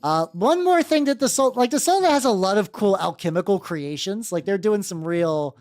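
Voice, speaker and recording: A woman rambling through a casual livestream, her pitch bouncing up and down in a lively way. She swears freely and answers her chat as it comes in. Faint music plays underneath her. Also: moderate pace. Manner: rambling, chatty and profane, reacting to chat. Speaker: VTuber streamer painting miniatures. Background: faint background music.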